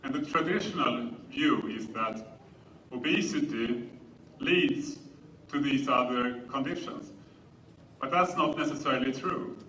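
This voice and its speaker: A man with a Swedish accent giving a public lecture in a measured, explanatory manner. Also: medium pitch, sharp tone. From Sweden. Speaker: conference speaker. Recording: roomy, distant mic, faint noise.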